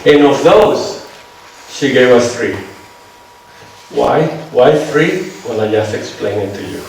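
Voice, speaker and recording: A man with a Venezuelan accent lecturing a seminar, talking in a loose, conversational way and tagging his points with "right?". His voice sits at a middle pitch. It is picked up from far off in a very echoey hall, with faint noise behind. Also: lively intonation, slow, a little mellow. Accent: Venezuelan Spanish. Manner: conversational, checks on listeners with "right?". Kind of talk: lecture with audience questions.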